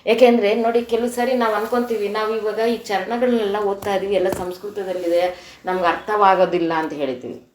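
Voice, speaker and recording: A woman's voice: mid-range voice, steady tone, fairly quick, slight background noise. A woman from gives an informal spiritual discourse, explaining verses in an earnest, conversational way. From Karnataka, India. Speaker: devotional speaker. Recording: echoey room recording.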